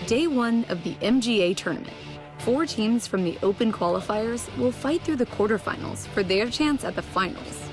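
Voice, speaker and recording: A woman's voice: fairly high pitch, lively intonation, moderate pace. A woman reading scripted documentary narration in the role of narrator. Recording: music behind the voice.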